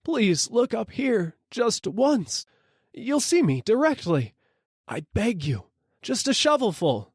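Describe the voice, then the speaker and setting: A man acts out a poor, freezing customer from a storybook, crying out humbly and desperately. The pleading voice rides high and swings widely in pitch, in a dry, close sound. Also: sing-song, slow pace, husky, dry recording, exaggerated voice.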